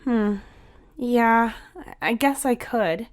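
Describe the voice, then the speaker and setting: A woman's voice: on the high side, extremely slow, pitched above the performer's own voice. Woman as a mischievous older sister, girlish, encouraging, casual, playful, storybook character. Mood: pondering.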